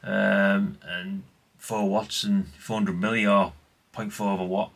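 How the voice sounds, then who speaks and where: A man's voice: lowish voice, flat delivery, unhurried, vocal fry, fairly bright tone. A man, chatting casually and ramblingly as he presents old radio gear in a vlog. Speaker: vlogger showing vintage CB radios. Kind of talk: casual, unscripted chat.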